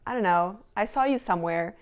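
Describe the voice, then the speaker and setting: A woman's voice: medium pitch, brisk. A young woman from southern Germany, a guest in a casual podcast chat, talking hesitantly with fillers and restarts. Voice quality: creaky.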